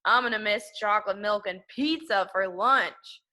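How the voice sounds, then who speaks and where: A woman's voice: mid-range voice, unhurried, sharp tone. A woman voicing eager, chatty young schoolchildren from a storybook in an earnest, exclamatory, childlike way.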